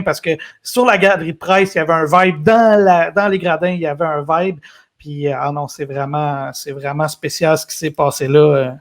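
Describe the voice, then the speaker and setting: A man with a Québécois French accent, co-hosting a casual podcast chat, talking in a halting, chatty way. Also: high voice. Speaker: podcast co-host.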